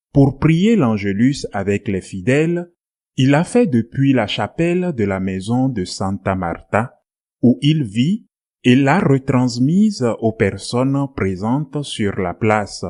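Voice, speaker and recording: Slow-paced male news reader. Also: mid-range voice, darkish tone. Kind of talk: scripted news narration.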